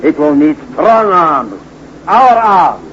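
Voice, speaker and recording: A sly, treacherous village guide in an old radio play, talking in halting broken English with a falsely friendly lilt. His slightly raised voice swings up and down as he bargains. The old recording is muffled, with a faint hiss behind him. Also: fairly high pitch, big pitch swings, fairly bright tone, full character voice. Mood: scheming. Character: scheming male village guide, treacherous killer. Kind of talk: 1940s radio acting.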